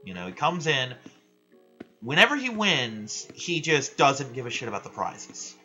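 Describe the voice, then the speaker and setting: Loud ranting man, bright lo-fi voice. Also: mid-range voice, big pitch swings, unhurried, faint music behind. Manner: loud, ranting, frequent swearing. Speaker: gaming vlogger. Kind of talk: casual rambling chat while playing a game.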